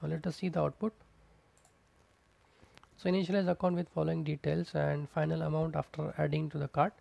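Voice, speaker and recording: Gravelly man explaining code. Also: on the high side, level tone, a little fast, dark tone, dull audio. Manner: explains step by step, repeats terms, many fillers. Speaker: programming instructor. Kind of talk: screencast coding tutorial. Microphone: close mic, voice-over on screen recording.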